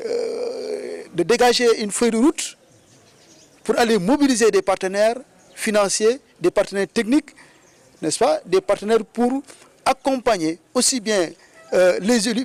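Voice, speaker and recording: High-voiced man, Senegalese French accent, formal and halting delivery as in a press interview. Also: darkish tone.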